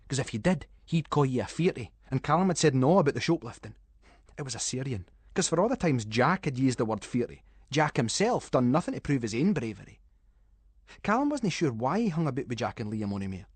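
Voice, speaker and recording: A Scottish man narrating a ghost story in Scots dialect, with an expressive, suspenseful storytelling delivery. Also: medium pitch, husky, no echo. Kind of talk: dramatic scripted narration of a ghost story for schools radio.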